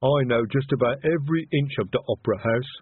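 A man voicing a big, slow-witted troll who speaks earnestly and ungrammatically, proud of his culture, in an audiobook. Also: mid-range voice, breathy edge, dry recording, phone-quality sound, voice pushed up, lightly acted. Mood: earnest, expansive. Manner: ponderous, uneducated, ungrammatical speech. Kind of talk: audiobook character voice.

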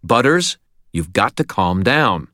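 A man's voice: fairly low pitch, very animated, takes it slowly, bright, forceful tone, no room sound, studio quality, voice pushed up, exaggerated voice. A man voicing a stubborn, commanding hero in an audiobook, speaking tightly and emphatically to rally someone. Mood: tense. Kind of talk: audiobook character acting.

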